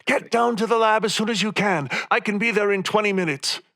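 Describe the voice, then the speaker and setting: An actor in a radio play playing a stern, concerned scientist doctor who speaks with insistent authority. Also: male voice, high voice, fairly quick, sharp tone. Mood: stern, urgent.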